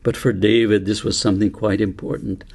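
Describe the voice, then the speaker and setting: A man narrating a story for an audiobook in measured, deliberate short phrases. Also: fairly low pitch, flat delivery, creaky, a little mellow. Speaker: author reading own book aloud.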